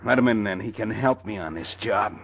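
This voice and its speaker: An actor playing a vain, vengeful crime boss in an old-time radio drama. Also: male voice, fairly low pitch, a little fast, creaky, husky. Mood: menacing. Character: vain, vengeful male crime boss, panicking when cornered. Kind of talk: 1940s radio acting. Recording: muffled, hissy old radio broadcast.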